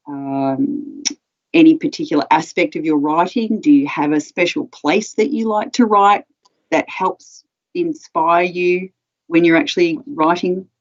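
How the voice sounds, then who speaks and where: Interviewer in her forties, woman, lower voice, calm and measured, muffled video call sound. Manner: measured, reads out audience questions. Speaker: library interviewer. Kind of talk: hosting a live interview. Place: home room. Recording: slightly dull video call audio.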